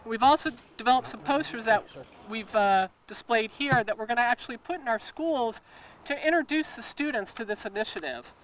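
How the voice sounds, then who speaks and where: Higher-voiced woman giving a prepared public address. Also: moderate pace, rough recording. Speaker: police chief speaking at a press conference.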